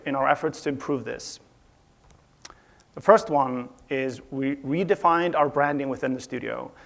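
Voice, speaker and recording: Gravelly man from Iceland presenting. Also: mid-range voice, a bit bright. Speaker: conference speaker, studio UI/UX director. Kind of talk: presentation talk. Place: conference stage.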